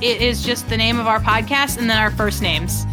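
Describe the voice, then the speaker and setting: A woman's voice: fairly high pitch, steady tone, normal speed, music in the background. A young woman from Maine co-hosting a podcast, chatting in an earnest, casual way with sighs and laughs. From Portland, Maine, US. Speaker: podcast co-host.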